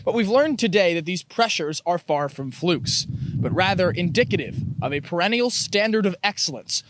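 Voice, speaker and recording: A man's voice: sits high, fairly bright tone. A man reporting a college lacrosse feature story in a polished, scripted narration. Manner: measured, polished delivery. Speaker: sports reporter.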